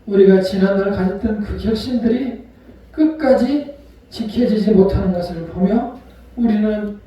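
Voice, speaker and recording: A male pastor preaching a sermon, retelling a story with acted-out voices and sharp swings between loud and soft. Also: high-pitched. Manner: storytelling, acts out quoted voices. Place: church sanctuary. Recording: echoey hall from far off.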